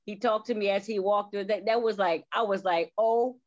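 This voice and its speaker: A woman in casual conversation, excitable and effusive, rambling as she talks. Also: medium pitch, moderate pace. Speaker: stage play cast member. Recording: dull, lo-fi audio.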